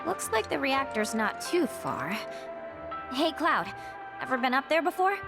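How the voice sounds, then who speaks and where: A woman voicing an eager, greedy young treasure-hunting adventurer in a video game, speaking in slangy, animated bursts over music. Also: high voice, measured pace, vocal fry, exaggerated voice. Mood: eager curiosity. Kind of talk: video game cutscene acting. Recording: loud music behind the voice.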